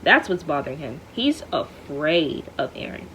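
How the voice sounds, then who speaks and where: Woman, low-ish voice, expressive family read-aloud, faint room noise. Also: moderate pace, low-quality recording. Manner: lively, lifts her voice for quoted dialogue. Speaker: parent reading aloud to her children.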